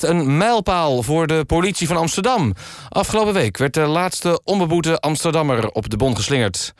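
A man presenting a mock-serious radio magazine in a deadpan manner. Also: mid-range voice, dry recording, clean studio sound. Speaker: radio host. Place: radio studio.

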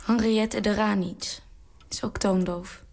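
Brief: mid-pitched young granddaughter, inquisitive and persistent. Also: female voice, fairly even intonation, takes it slowly, gravelly, a little mellow. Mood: probing. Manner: probing, persistent questions. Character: curious, probing young granddaughter.

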